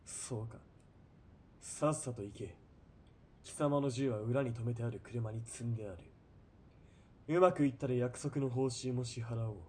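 An actor playing an arrogant, high-strung young army lieutenant in a radio drama, with haughty, barking military speech. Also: male voice, unhurried, long pauses, a little mellow, a little hiss or hum, natural voice. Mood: haughty, curt. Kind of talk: Japanese radio drama acting.

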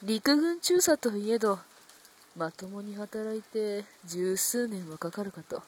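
A husky-voiced young courtesan, played by a woman, in a period radio drama. She speaks in antique pleasure-quarter style, proud and sharp-tongued. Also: mid-range voice, slow. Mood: coy, composed. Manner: old-fashioned courtesan speech.

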